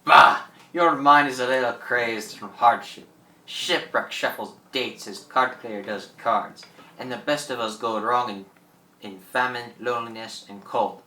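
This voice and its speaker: A man's voice: mid-range voice, unhurried, sharp tone, echoey room, far from the mic, low-quality recording. A man playing a fierce, proud French pirate in a radio play, with a French accent and theatrical broken English. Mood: scornful. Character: fierce, proud French pirate thawed from fifty years frozen. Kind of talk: amateur radio drama acting.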